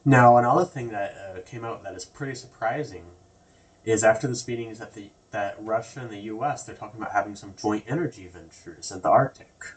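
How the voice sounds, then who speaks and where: A man with a fairly low voice hosts a casual commentary vlog. He rambles in loose, everyday phrasing and keeps restarting his words. He sits a little back from the microphone. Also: a little fast, far from the mic, poor audio. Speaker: video host.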